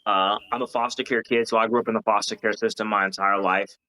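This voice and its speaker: A man talking casually in a podcast interview, his voice bright and forward. He explains things in an earnest, rambling way, with plenty of fillers and restarts. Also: on the low side, little pitch movement, fast, poor audio. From Hawaii. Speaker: guest, founder of a gaming company.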